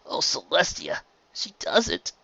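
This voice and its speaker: A man's voice: slow, sharp tone, dry recording, highs cut off. A man voicing a small, young male dragon who is anxious and guilt-ridden. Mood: worried.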